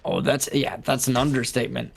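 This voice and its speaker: A man's voice: mid-range voice, steady tone, fairly quick, gravelly, husky. A man giving live analysis as an esports caster, conversational and opinionated, with emphatic phrasing.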